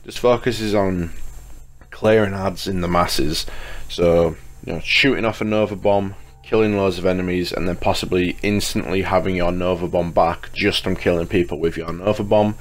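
Very deep-voiced man with a slight cold. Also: fairly even intonation, normal speed. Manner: informal, chatty, addresses viewers as guys. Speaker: gaming YouTuber, host. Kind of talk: casual video game guide commentary over gameplay menus. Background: music.

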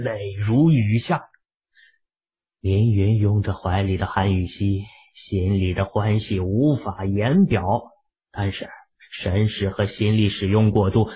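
A man with a husky voice narrating a fantasy novel as an audiobook in an emotive storytelling manner. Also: lowish voice. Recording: muffled lo-fi audio.

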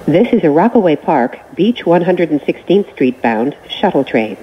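A woman reading scripted transit announcements as a train announcer, in short, measured, formal phrases. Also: deep voice, big pitch swings. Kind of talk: scripted automated station announcements. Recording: muffled recording with train noise.

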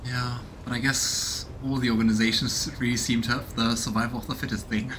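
A man's voice: mid-range voice, little pitch movement, fairly quick, background noise. A man in his thirties doing casual game commentary and reading narration aloud as he streams. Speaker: let's play streamer voicing a game. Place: small room indoors at home. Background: game music.

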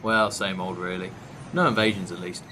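A man's voice: deep voice, steady tone, normal speed, pushed and bright, a little hiss or hum. A British man playing a chummy, down-to-earth London newspaper seller from the 1980s in a fan audio drama. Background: music.

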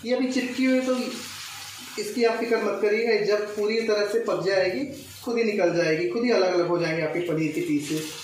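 A man's voice: sits high, moderate pace. Young male home cook, informal tutorial narration peppered with English words, heavy room echo, faint noise behind. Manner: instructional, step by step, mixes English words into speech. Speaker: home cook, recipe host. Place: home kitchen, indoors. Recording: echoey, distant mic, faint kitchen noise.

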